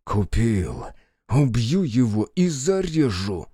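A man voicing a theatrical actor-playwright, flattering and hot-tempered, who declaims grandly in a stage play reading. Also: medium pitch, slow, dry recording, studio quality. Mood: furious. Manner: grandly declaiming, slight stammer at first.

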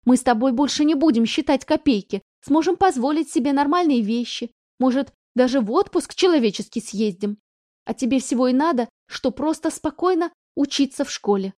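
Woman putting on a shrill scolding mother. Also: moderate pace, dry recording, clean studio sound, lightly acted. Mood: pleading. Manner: shrill, scolding, rising to shouts. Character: anxious, scolding middle-aged mother.

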